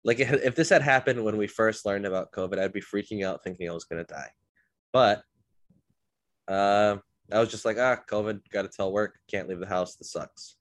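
A man's voice: fairly low pitch, moderate pace, no room sound. A man hosting a podcast, a bit congested from illness, telling rambling self-deprecating stories in a casual chat. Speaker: podcast host.

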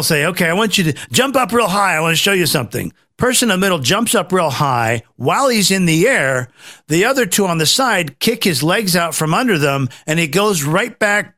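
A man hosting a casual political commentary chat, rambling and halting as he often repeats his phrases. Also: high-pitched, sing-song, pushed and bright, no echo, studio quality. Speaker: talk show host.

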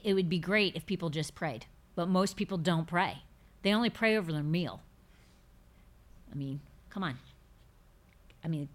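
A woman's voice: on the low side, a bit bright. A woman in her fifties hosting a faith podcast, chatting casually and emphatically as she answers listener questions, often repeating words to drive a point home. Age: 50s. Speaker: podcast host.

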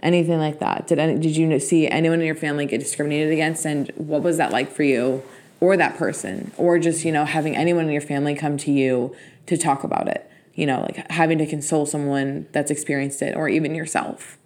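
A young woman hosting a podcast, with a voice that sits very low for a woman. She asks earnest, rambling questions, adding follow-ups one after another. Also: fairly even intonation, fairly quick, darkish tone. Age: young adult. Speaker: podcast host. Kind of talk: interview questions.

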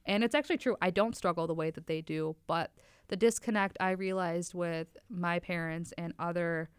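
A young woman from Wisconsin talks openly as a podcast guest, in a very smooth, clear voice that sits a little low. Her words come in long, flowing stretches, and the sound is dry and close. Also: steady tone, normal speed, no room sound. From Wisconsin, United States. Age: 20s. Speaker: podcast guest, lab tech. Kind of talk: casual podcast conversation.

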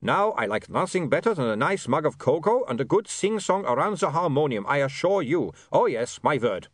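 A man's voice: fairly high pitch, sing-song, normal speed, no room sound, studio quality, voice pushed up. A man voicing an amicable, earnestly polite reformed male vampire for an audiobook. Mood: protesting innocence. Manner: earnest, formally polite, slightly insistent. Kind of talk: audiobook character voice.

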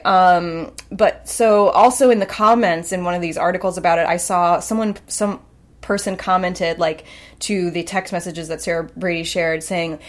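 A woman in her thirties from Long Island, co-hosting a casual podcast chat, blunt and sarcastic with free swearing. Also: on the low side. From Long Island, New York. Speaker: podcast co-host.

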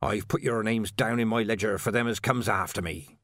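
A man's voice: lowish voice, steady tone, brisk, a bit bright, no room sound, studio quality. A man voicing a morbid, sulky, pleading gravedigger in an audiobook. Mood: grateful. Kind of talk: audiobook character voice.

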